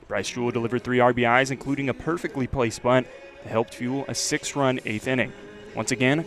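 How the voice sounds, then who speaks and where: A man's voice: mid-range voice, a bit bright. An American man delivering a scripted introduction as a college sports play-by-play broadcaster.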